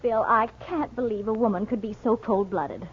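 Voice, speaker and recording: A woman's voice: a little high, moderate pace, breathy edge. A woman playing an inquisitive, teasing wife and amateur sleuth with a pert, probing manner in a 1940s radio mystery. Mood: eager, inquisitive. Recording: muffled, narrow-band 1940s radio recording.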